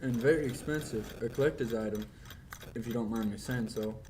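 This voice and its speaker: A man's voice: mid-range voice, a little fast, darkish tone, a little hiss or hum, lightly acted. Young man playing a fussy, hesitant clock shopkeeper, ticking behind. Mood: deferential, cautious. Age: young adult. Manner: polite, hesitant, deferential. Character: courteous, fussy middle-aged male clockmaker and shopkeeper. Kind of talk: 1940s radio acting.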